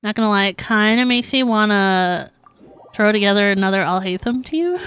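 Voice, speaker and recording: A woman's voice: mid-range voice, normal speed, fairly bright tone, clean tone, no room sound. A woman livestreaming a game, chatting casually and informally with her viewers. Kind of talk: casual chat while streaming gameplay.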